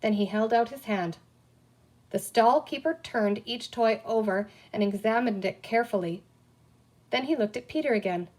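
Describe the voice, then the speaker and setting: A woman reading a children's story aloud as scripted, expressive narration. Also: on the high side, normal speed. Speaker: audiobook reader.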